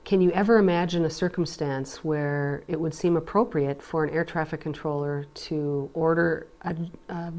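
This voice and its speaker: Woman, very low pitch, deliberate phrasing, interviewer, measured questioning. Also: fairly even intonation, a little mellow.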